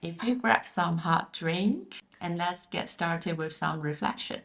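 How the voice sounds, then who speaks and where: Young woman from Taiwan, low voice, candid chatty vlogger, roomy echo. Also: unhurried, gravelly, very noisy. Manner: chatty, candid, repeats words for emphasis. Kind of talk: casual vlog talk. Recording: echoey room.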